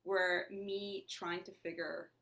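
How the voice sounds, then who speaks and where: A woman's voice: on the low side, level tone, slow pace, vocal fry, a bit bright. Thoughtful woman from Lebanon, Armenian native. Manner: thoughtful, searching, asks herself questions aloud. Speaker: actor and playwright guest. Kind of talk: conversational interview.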